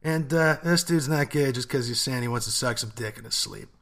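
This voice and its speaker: A young man co-hosting a podcast, giving relaxed, joking advice with plenty of swearing and laughter. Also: medium pitch, a little fast. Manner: laid-back, profane, laughs often. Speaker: podcast co-host. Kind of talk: casual advice chat.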